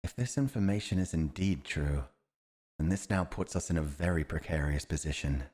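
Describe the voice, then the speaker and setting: Man acting as a stern, deep-voiced fantasy commander. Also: moderate pace, a little mellow. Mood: concerned, firm. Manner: grave, formal, dutiful. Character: stern, loyal, principled male royal military commander. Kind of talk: fantasy audio roleplay acting.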